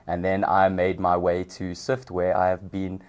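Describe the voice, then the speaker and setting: A young South African man, a podcast guest, explaining his work in a relaxed way with some hesitations. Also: low voice, a little slow. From Johannesburg, South Africa. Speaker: guest, customer success manager. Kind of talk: casual interview.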